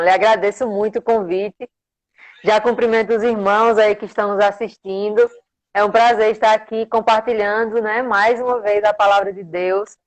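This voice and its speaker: A woman's voice: medium pitch, poor audio. A woman with a strong accent from the rural interior of Paraíba in northeastern Brazil, preaching devotionally and reverently on a livestream as a guest. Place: remote social media livestream.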